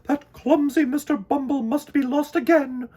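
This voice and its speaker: A woman's voice: sits high, fairly even intonation, moderate pace, mellow, pitched above the performer's own voice, lightly acted. A man voicing a gracious, regal female queen bee in a children's storybook. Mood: exasperated.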